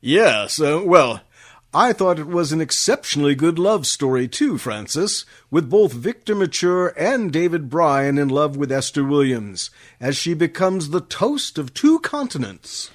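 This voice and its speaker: An actor playing an agreeable, polished 1950s radio host in scripted promotional banter. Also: male voice, a little high, big pitch swings, measured pace. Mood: agreeable, admiring.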